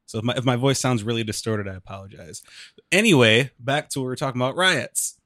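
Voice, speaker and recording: A man's voice: mid-range voice, quick pace, fairly bright tone, no echo, clean studio sound. A man co-hosting a casual podcast chat, talking loosely and laughing often as he restarts his sentences. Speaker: podcast co-host.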